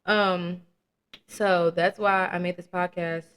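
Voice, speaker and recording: A woman's voice: on the low side, little pitch movement, takes it slowly, fairly bright tone, clear, resonant tone. A young woman around twenty hosting her own podcast, talking candidly and casually with slang, swearing and laughing at her own jokes. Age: young adult. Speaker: podcast host. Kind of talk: casual solo podcast chat.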